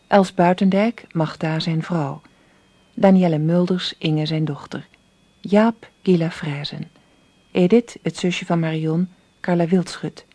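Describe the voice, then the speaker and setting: A woman's voice: on the low side, warm tone, dry recording. A woman reading scripted closing credits as a radio drama announcer.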